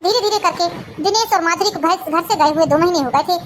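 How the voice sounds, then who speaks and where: A woman's voice: very high-pitched, fast, slight background noise. A woman storyteller narrating a cartoon family drama.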